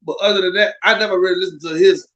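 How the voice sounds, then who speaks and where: A man's voice: high-pitched, fairly even intonation, rapid-fire, fairly bright tone, muffled recording. A man co-hosting a podcast with a stuffed-up nose from a cold, talking casually and animatedly, often repeating himself. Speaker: podcast co-host. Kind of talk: casual chat.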